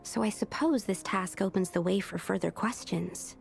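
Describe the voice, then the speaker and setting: A woman voicing a curious young female alchemist in a video game, explaining in a thoughtful, measured way. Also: mid-range voice, creaky, faint music behind.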